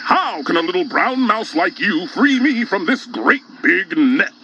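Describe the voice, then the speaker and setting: A man's voice: high-pitched, very animated, a little slow, bright, forceful tone, exaggerated voice. A man voicing a big brown food-loving lion, comical and blustery, in a children's audio story. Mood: doubtful. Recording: dull cassette tape.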